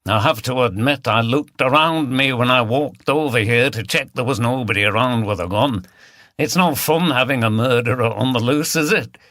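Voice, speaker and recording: A man's voice: mid-range voice, normal speed, fairly bright tone, no room sound, studio quality, close to an everyday voice. A man voicing an uneasy, colloquial Midlands man with a Birmingham accent in an audiobook. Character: chunky, uneasy Midlands man, village lodger.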